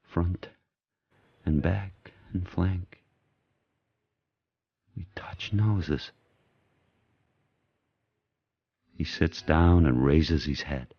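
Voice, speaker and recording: A man playing a fierce, brooding sled dog turned pack leader, telling his tale in terse, deliberate phrases in an audio drama. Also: dark tone, no room sound, highs cut off, heavily acted. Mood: wary, tense. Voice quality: very deep, husky. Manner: terse, deliberate first-person narration in short phrases.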